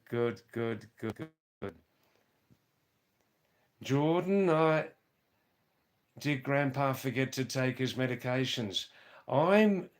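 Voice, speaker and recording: A hoarse man live-streaming from a hill village, reading out viewers' comments and greeting them by name in a halting way with many fillers. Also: medium pitch.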